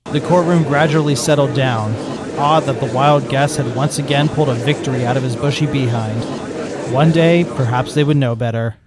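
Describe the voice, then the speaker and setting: A man narrating a fan fiction chapter aloud in steady storytelling style. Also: medium pitch, moderate pace, over a noisy crowd.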